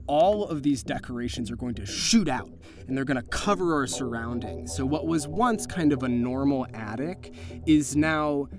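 A man improvising vivid, descriptive narration as he runs and plays a tabletop role-playing game. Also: medium pitch. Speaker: game master and player.

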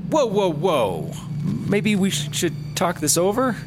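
A man's voice: high-pitched, huge pitch swings, slow pace, very noisy, heavily acted. A man playing a hesitant, easily swayed, sheepish squad-car partner in a comic audio drama. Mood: nervous.

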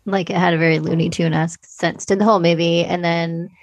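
A woman's voice: fairly low pitch, little pitch movement, fast, no echo. A woman co-hosting a podcast, chatting casually about film in an informal, conversational way peppered with filler words. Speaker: podcast co-host.

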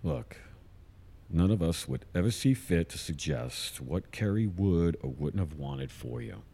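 A low-voiced, expressive concerned dad. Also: male voice, a little slow, vocal fry, breathy edge, in a large hall, full character voice. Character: warm, eager father and scientist, a concerned friend. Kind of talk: naturalistic audio drama acting.

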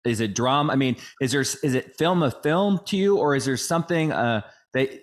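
A man's voice: medium pitch, animated, fairly quick. A male podcast host asking his guest loose, searching questions, often rephrasing as he goes. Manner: rambling, searching questions full of "I mean" and "like". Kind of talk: casual interview questions.

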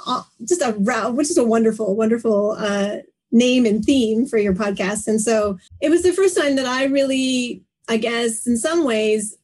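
A woman's voice: a little high, moderate pace, darkish tone. A woman hosting a conversational interview, speaking in reflective, wordy phrases that often halt and repeat. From Canada. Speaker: live stream host, interviewer.

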